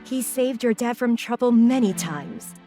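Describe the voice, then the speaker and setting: A woman playing a kindly, gently teasing character in a video game cutscene. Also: fairly high pitch, a little slow, soft background music, lightly acted.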